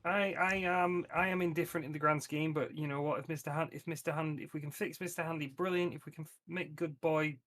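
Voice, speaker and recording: A man's voice: on the high side, fairly even intonation, fast talker, few pauses, fairly bright tone. A man talking casually as a player in a tabletop roleplaying game.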